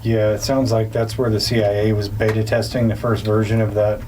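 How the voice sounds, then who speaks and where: Low-voiced joking man, a bit off-mic. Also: level tone, a little fast, a little mellow, far from the mic, slight background noise, poor audio. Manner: joking, dry sarcastic asides. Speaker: podcast co-host. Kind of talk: casual chat.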